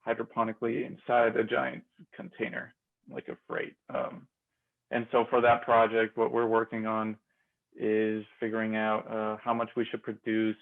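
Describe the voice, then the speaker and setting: A man's voice: fairly low pitch, creaky. A young man in his twenties from Austria, a grad student guest in a casual interview, talking with many fillers and restarts. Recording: tinny phone-like line.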